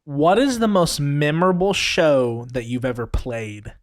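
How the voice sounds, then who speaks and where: Teasing podcast co-host, lively voice. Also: male voice, a little high, measured pace, no room sound, clean studio sound. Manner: teasing, joking interjections. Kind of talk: casual chat.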